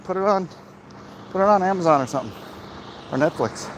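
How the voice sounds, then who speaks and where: A man talking casually off the cuff in a rambling, opinionated, halting way, like a livestream host commenting and answering chat. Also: high voice, lively intonation. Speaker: host of a livestream vlog, comic creator. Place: outdoors, walking in an urban area. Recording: clear outdoor traffic and street noise.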